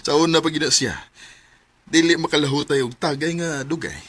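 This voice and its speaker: A man's voice: on the high side, measured pace, fairly bright tone, breathy edge, no echo, full character voice. A man with a Cebuano Filipino accent acting an older family friend, hesitant and secretly in love, in a radio romance drama. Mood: friendly, casual. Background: music.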